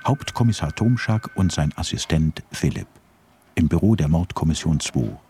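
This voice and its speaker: Very deep, dark-voiced male narrator. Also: moderate pace, slight background noise. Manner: calm, announcing. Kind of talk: scripted radio drama narration.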